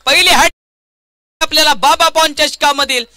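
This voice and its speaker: Male cricket commentator, Maharashtrian accent, very sharp forceful tone, dry close sound, grand dramatic play-by-play. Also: very high voice, fairly even intonation, moderate pace, dry recording. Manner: grandiose, dramatic phrasing with repeated stock lines. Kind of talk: live play-by-play sports commentary.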